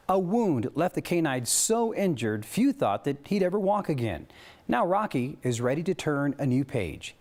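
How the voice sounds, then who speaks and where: A man's voice: mid-range voice, a little mellow. A man with an American accent anchoring a TV newscast, reading scripted stories and chatting lightly between them. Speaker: TV news anchor.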